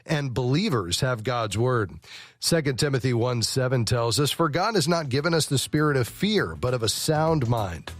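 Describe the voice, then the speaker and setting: A man's voice: medium pitch, expressive, normal speed, no echo, clean studio sound. A man presenting a scripted financial advice segment for radio.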